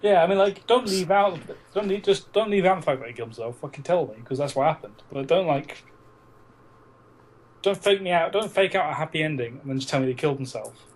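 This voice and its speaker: A man's voice: fairly high pitch, brisk. A man co-hosting a podcast, chatting casually and bluntly about films, with frequent swearing. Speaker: podcast co-host. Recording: noisy amateur podcast recording.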